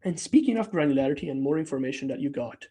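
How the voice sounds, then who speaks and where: A man's voice: fairly high pitch, lively intonation, fast talker, mellow, breathy edge. A man whose first language is Italian, presenting a technical product update in a conversational way with frequent fillers and restarts. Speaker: developer relations presenter.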